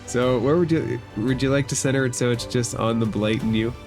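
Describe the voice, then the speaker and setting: A man's voice: medium pitch, brisk. A man running a tabletop roleplaying game as game master, casually clarifying rules and repeating his phrases. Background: background music.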